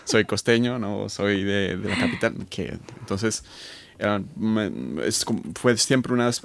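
A man's voice: on the low side, unhurried, vocal fry. A man in his thirties from Colombia, a guest on a radio interview, answering thoughtfully with many hesitations. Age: 30s. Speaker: guest researcher.

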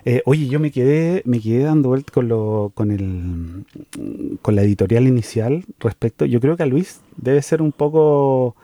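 A man's voice: medium pitch, animated, measured pace, dark tone, dry recording. A man co-hosting a radio show, chatting casually and loosely with a guest and repeating his phrases. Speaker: radio co-host, interviewer. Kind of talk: casual chat.

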